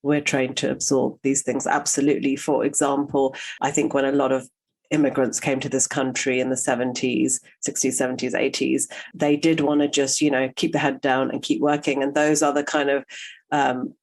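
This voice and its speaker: Woman podcast host, gravelly edge, thoughtful and searching, casual fillers. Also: very deep voice, level tone, normal speed, darkish tone. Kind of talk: conversational interview.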